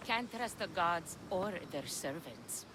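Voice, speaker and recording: Brash seafaring rogue woman for an ancient-world game; lively swings in pitch, blunt swagger, clear background noise. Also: slow pace. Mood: brash, mocking. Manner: blunt, brash, salty swearing. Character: gruff, foul-mouthed, swaggering female pirate captain of ancient Greece. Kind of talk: video game dialogue acting.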